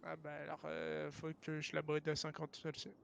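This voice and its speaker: High-voiced exasperated man, noisy mic. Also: completely flat delivery, fairly quick, lo-fi sound. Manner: exasperated, blunt, swearing. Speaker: game server founder. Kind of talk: casual argument in online game voice chat. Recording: clearly noisy game voice chat.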